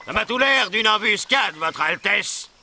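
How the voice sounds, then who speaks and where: A man's voice: high-pitched, lively intonation, a little slow, bright, forceful tone, heavily acted. A man playing an officious, nervous royal coachman with a deferential, fussy manner in a French cartoon dub. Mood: officious, wary. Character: officious, nervous servant driving the royal carriage.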